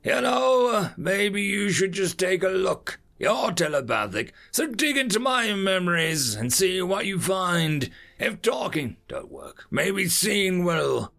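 Feisty, folksy veteran in his nineties, played by a male narrator; raised pitch, gravelly creak, taunting storybook delivery. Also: big pitch swings, slow, few pauses, fairly bright tone, full character voice. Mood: challenging. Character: defiant, folksy 95-year-old male war veteran.

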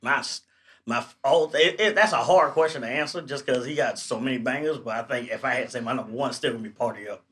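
A man's voice: mid-range voice, fast talker, fairly bright tone. A man co-hosting a casual podcast, telling stories in an easygoing way. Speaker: podcast co-host. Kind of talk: casual chat.

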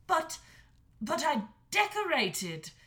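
Storybook role: woman doing a timid young boy dragon, soft and stammering, lively reading voice. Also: medium pitch, a little slow. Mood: meek. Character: young male dragon, meek and sad.

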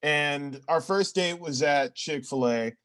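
A man improvising a hapless, rambling stenographer job applicant in a comedy sketch, halting and sheepishly self-justifying. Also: fairly high pitch, a bit bright. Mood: rambling, earnest.

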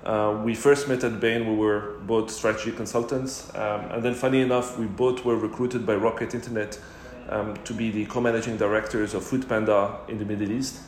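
A man's voice: on the low side, level tone, fairly quick, reverberant, slight background noise. A man from Morocco, a startup co-founder, telling his career story fluently as a podcast guest. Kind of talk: casual interview.

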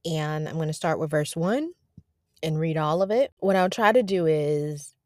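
A woman hosting a devotional podcast, preaching casually from her parked car, talking to listeners as y'all, her voice going a little raspy. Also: sits low, moderate pace, a little mellow, no echo, clean studio sound. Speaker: podcast host.

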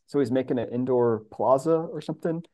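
A man's voice: medium pitch, brisk, dark tone. A middle-aged American man from New York chatting casually about his own experiences in an informal conversation. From New York, USA. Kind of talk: casual chat.

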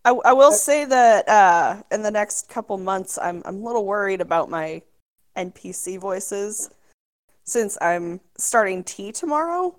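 A woman's voice: medium pitch, expressive, a little slow. A woman, a dungeon master, chatting casually on a podcast, hesitant and self-deprecating.

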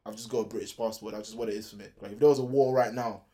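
A man's voice: on the low side, steady tone, rapid-fire, mellow. A man from Nigeria in a casual group discussion, talking passionately about his experiences, peppering his speech with "like". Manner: passionate, full of "like" fillers.

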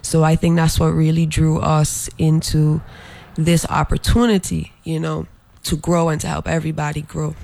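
A man from Saint Croix, a fashion designer being interviewed, who talks in a halting way with many filler pauses. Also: fairly high pitch, level tone, gravelly, a little mellow. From Saint Croix, US Virgin Islands. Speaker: guest, fashion brand designer. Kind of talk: interview promoting an event.

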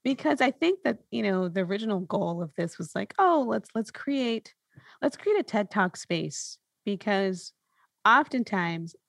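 A woman's voice: mid-range voice, animated, measured pace, creaky, fairly bright tone, no echo. A woman hosting a podcast, talking casually and reflectively, thinking through her points with lists and examples. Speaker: podcast host.

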